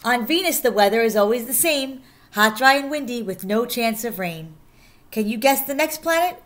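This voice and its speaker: A woman with a somewhat high voice reads a children's picture book aloud as a teacher would for her class. Her delivery is animated and expressive, following the bounce of the rhymes and leaning on the important words. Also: moderate pace. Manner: animated, sing-song delivery of rhyming verse, stressing key words. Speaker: teacher reading aloud to pupils.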